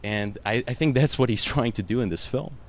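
A man's voice: mid-range voice, brisk. A man, an actor whose first language is Russian, answering a panel interviewer in an earnest, emotional way, full of "you know" fillers.

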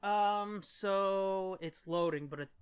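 A man's voice: high voice, slow pace, clean tone, no room sound, soft background music. A man co-hosting a podcast in casual, informal chat, with plenty of profanity. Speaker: podcast co-host.

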